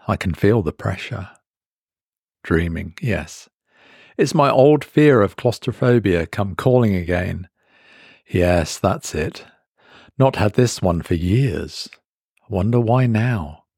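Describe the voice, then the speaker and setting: A man reading a first-person horror story as a trapped man in dazed, creeping dread, speaking in halting, brooding phrases. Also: on the low side, darkish tone, slightly rough, no room sound, clean studio sound, heavily acted. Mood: dazed unease.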